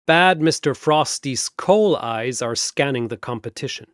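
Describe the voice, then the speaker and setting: A man narrating a scripted comic fantasy battle in a theatrical, deadpan manner. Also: mid-range voice, a little slow, no echo, clean studio sound.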